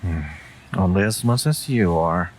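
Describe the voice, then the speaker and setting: Man playing a very deep, slow wolf familiar. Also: slight background noise. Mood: soothing, dry teasing. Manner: slow, dry, teasing. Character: stoic wolf familiar, gruff but tenderly protective of mage. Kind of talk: intimate comfort audio roleplay.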